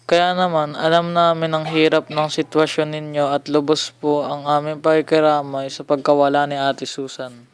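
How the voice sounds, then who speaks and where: A man's voice: a little high, clean tone, close to an everyday voice. A young man with a Filipino accent playing an earnest, respectful student activist in an amateur radio drama.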